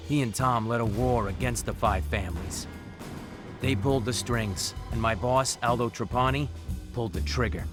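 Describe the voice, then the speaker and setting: A man's voice: lowish voice, close to an everyday voice. An actor playing a loyal, easygoing male mobster in a video game. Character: Dominic, loyal mob underboss, easygoing man. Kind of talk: video game voice acting, narration and dialogue. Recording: music under the voice.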